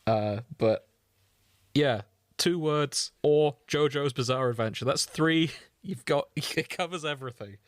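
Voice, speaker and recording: A man's voice: a little high, a little slow, no room sound. Man bantering, very dry close sound. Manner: mock-exasperated, sweary. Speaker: podcast co-host. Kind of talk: casual comedic banter, reading out titles.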